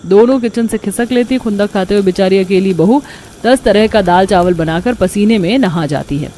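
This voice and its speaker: A woman's voice: medium pitch, moderate pace, mellow, slight background noise. A woman reading scripted narration as the storyteller of a cartoon tale.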